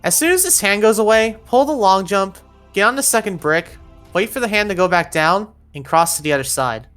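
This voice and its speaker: A woman's voice: medium pitch, expressive, brisk, a bit bright, soft background music. A woman narrating an instructional video game walkthrough in a step-by-step, directive way, as a guide for players. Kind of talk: instructional gameplay walkthrough voice-over.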